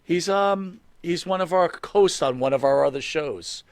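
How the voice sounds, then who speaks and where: A man's voice: a little high, moderate pace, no echo. A man hosting an interview, asking joking, probing questions with hesitant fillers. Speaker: podcast host. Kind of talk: casual interview chat.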